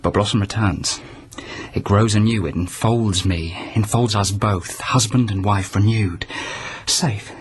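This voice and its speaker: A man acting in a radio drama as a brooding, tormented court poet, with a husky voice and a measured, lyrical delivery. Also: on the low side, natural voice. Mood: anguished, yearning.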